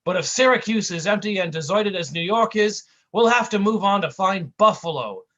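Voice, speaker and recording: A man doing a gruff snappy old-time tough guy. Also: sits high, lively intonation, moderate pace, bright, forceful tone, dull audio, voice pushed up. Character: gruff bullying male slapstick partner.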